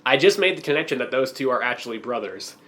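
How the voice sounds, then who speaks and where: A man's voice: mid-range voice, lively intonation, fast, a bit bright. A man co-hosting a comic-book podcast, talking casually and recapping stories while getting over a cold that makes his voice crack. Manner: joking, recaps plots in a loose rambling way. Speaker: podcast co-host.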